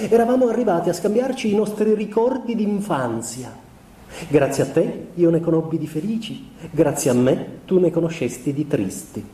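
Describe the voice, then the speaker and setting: A gentle, tormented young husband in a radio theatre monologue, confessing as if in a letter. His voice is mellow and fairly high for a man, with solemn, measured, inward-looking phrasing. It is picked up from a distance in a reverberant room. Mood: tender, wistful. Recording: roomy, distant mic, noisy ambience.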